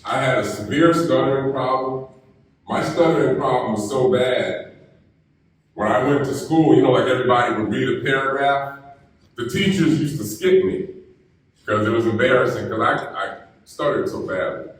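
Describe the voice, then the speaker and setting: A man giving a graduation keynote, telling stories in a slow, deliberate way. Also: mid-range voice. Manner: storytelling, slow deliberate delivery. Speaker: keynote speaker. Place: university ceremony hall. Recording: echoey hall, mic heard from a distance.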